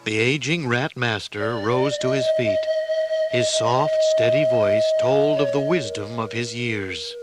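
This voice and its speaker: A man narrating a scripted children's adventure story in a dramatic, deliberate storytelling manner. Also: mid-range voice. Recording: loud music under the voice.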